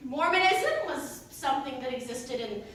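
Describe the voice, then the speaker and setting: A woman playing a rambling, doubting, wry churchgoing mother in a halting comic stage monologue, full of digressions and self-interruptions. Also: high-pitched, animated, a bit bright. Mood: wry, nostalgic. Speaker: actor performing a monologue. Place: indoor staged reading in a reverberant room. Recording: echoey room, distant mic, faint noise.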